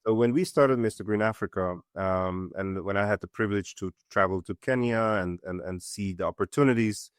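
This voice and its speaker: A middle-aged man from Switzerland, a company co-founder, answering interview questions in an earnest, halting way with many fillers. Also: fairly low pitch, clear, resonant tone, no room sound, studio quality. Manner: earnest, many fillers and restarts, steady explanation. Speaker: company co-founder and CEO, guest.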